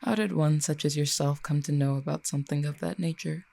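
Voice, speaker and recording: A performer voicing an ancient fallen cupid in a roleplay audio drama, poised and wry, speaking to the listener in formal, eloquent, measured phrases. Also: mid-range voice, steady tone, gravelly, mellow, natural voice. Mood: wry, curious.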